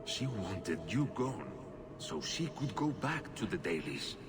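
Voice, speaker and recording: Demon posing as a father, manipulative, soothing, very low and unhurried. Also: big pitch swings, very noisy, exaggerated voice. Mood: coaxing. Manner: soothing, slow and controlling.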